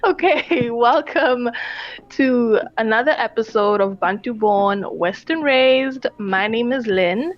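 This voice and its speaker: A woman hosting a podcast, upbeat and excitable, talking in a casual, slangy way. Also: on the high side, muffled recording. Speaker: podcast host.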